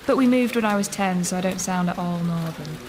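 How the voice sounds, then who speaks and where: A woman's voice: fairly low pitch, fairly quick, natural voice. An actress playing a chatty woman in her thirties from Leeds and Bristol, who rambles and laughs easily, in a radio drama. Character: chatty woman living in London, warm and talkative. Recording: radio drama with background noise.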